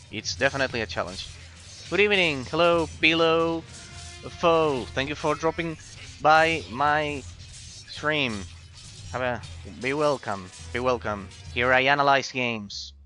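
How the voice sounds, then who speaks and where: A man with an Argentine Spanish accent talks to his game-stream viewers in a bright, medium-pitched voice. He is effusively polite and often repeats short words and phrases as he chats. Faint music plays behind him. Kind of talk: casual live-stream chat with viewers.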